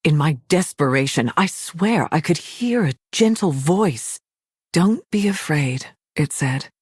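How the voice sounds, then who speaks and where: A woman playing a devout, regal elven leader in a fantasy game, speaking with measured, earnest weight. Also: sits low, expressive, a little mellow, breathy edge, no room sound, clean studio sound, heavily acted. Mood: quiet awe. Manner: slow, measured, earnest oration.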